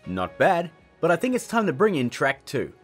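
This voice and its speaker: A man's voice: fairly high pitch, wildly swooping pitch, moderate pace, fairly bright tone, breathy edge, soft background music. A man in his thirties hosting a casual scripted tutorial in a jokey, emphatic, theatrical way. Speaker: tutorial host.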